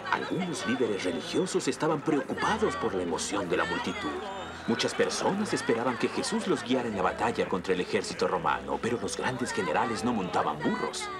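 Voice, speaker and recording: A man's voice: on the low side, sing-song, fairly quick, over a noisy crowd. A man voicing an earnest adult storyteller in a children's cartoon, narrating with dramatic expression.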